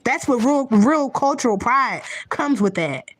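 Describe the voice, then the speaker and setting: A woman's voice: high-pitched, animated, measured pace, no echo. A woman giving a heated, profane, unscripted rant to her viewers, blunt and repetitive, often restarting her sentences. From United States. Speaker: vlogger.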